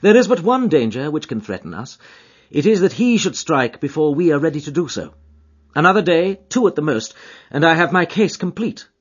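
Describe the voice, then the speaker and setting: A man performs a commanding, intense male detective in an audiobook. His formal Victorian lines are urgent and emphatic, pitched up and leaping widely, with a husky grain. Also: sing-song, normal speed, dull audio. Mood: grave, insistent. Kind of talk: dramatised audiobook character dialogue.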